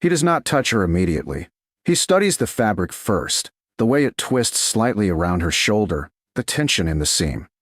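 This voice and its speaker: A man narrating a scripted romance audiobook in a slow, measured, dramatic manner. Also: on the low side, sing-song.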